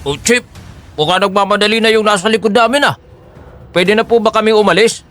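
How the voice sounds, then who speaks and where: A man playing a chatty, deferential taxi driver in an audio drama, animated, colloquial and polite. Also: high-pitched, brisk, soft background music. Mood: polite, eager. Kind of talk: audio drama acting in Tagalog.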